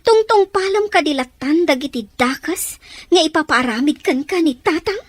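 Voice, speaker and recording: Actress as a high-voiced pleading young daughter. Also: female voice, animated, moderate pace, dry recording. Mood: pleading, reproachful. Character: earnest defiant daughter pleading against her father's raids. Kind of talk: amateur drama dialogue in Ilocano. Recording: outdoor recording with faint noise, birdsong.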